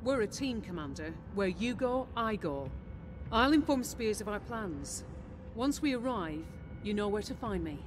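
An actress voicing a steady, loyal female officer in a video game, giving briefings in a measured, serious way. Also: mid-range voice, background noise, lightly acted. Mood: resolute. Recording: music behind the voice.

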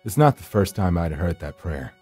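Grim monster hunter, low dark voice, brooding, solemn game narration, faint music behind. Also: male voice, very animated, moderate pace, husky, exaggerated voice. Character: grim, world-weary male supernatural rescuer narrating his hunt. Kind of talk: dramatic scripted first-person narration.

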